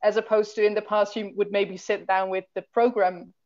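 A woman's voice: medium pitch, flat delivery, normal speed, a little mellow. A woman on an online panel, explaining her points fluently. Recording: slightly dull video call audio.

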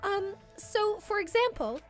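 A woman's voice: very high voice, sing-song, unhurried, soft background music, voice pushed up, full character voice. A woman voicing a formal, earnest, enthusiastic foreign princess girl in a visual novel game. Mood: polite curiosity. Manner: formal, precise, exclamatory speech. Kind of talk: dramatic read of visual novel dialogue.

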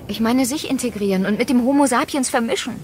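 An actress playing a guilt-ridden geneticist and mother, speaking earnestly and often dropping into hushed tones. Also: fairly high pitch, fast, a little hiss or hum, close to an everyday voice. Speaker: scientist. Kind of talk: radio play acting.

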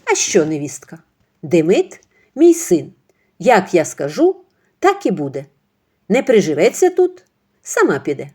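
A woman voicing a pushy, self-pitying older mother-in-law who talks in a high, wheedling tone. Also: huge pitch swings, measured pace, pauses often, mellow, breathy edge, exaggerated voice. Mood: dismissive. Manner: shrill, wheedling, high-pitched.

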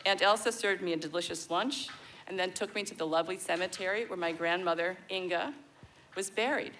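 Low-voiced American woman in her sixties. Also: a bit bright, a little hiss or hum, poor audio. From born in Denmark, raised in the United States. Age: 60s. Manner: measured, reflective storytelling. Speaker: guest speaker at a celebration. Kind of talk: prepared personal speech. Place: outdoor festival stage. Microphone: podium mic on a stand.

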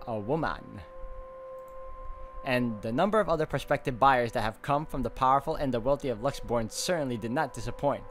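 Guy reading out a story game with dry jokes, mid voice, game music loud behind. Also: medium pitch. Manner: dry, joking asides. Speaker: game walkthrough host. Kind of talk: reading a visual novel aloud with commentary.

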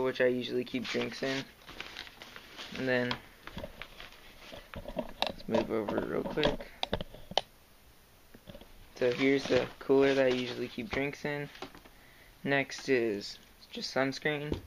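A young man talks softly and haltingly, with lots of fillers, as he points out gear for the camera. His voice is gravelly and stays on a flat, even pitch. A steady hiss from the handheld camera sits under the talk. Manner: soft-spoken, hesitant, lots of fillers. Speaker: kayak angler showing his gear. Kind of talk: casual show-and-tell vlog. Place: indoors, carpeted room at home. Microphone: handheld camera close to the gear. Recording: noisy home camera recording.

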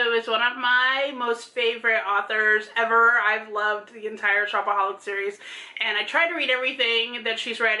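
A woman's voice: fairly high pitch, pushed and bright. A woman in her thirties chatting casually about books as a vlog co-host, gushing and emphatic. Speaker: book vlogger, co-host. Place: small room at home. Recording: roomy home video sound.

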